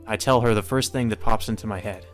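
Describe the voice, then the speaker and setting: A man's voice: fairly low pitch, fast talker, soft background music. Man narrating a visual novel playthrough. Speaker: let's play commentator. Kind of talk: reading visual novel narration aloud with casual commentary.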